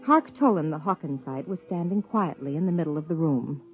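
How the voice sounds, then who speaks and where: An actress playing an earnest, persuasive scientist wife who also narrates, in 1950s radio drama style. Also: female voice, sits low, fairly quick, faint music behind, natural voice. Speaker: narrator and lead character. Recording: dull 1950s radio broadcast.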